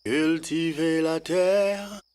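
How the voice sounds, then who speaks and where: An actor playing a kindly farmer who gives slow, instructive advice in an educational children's cartoon dub. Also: mid-range voice, fairly even intonation, over music. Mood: singsong. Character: kindly farmer working the land, neighbour to the children.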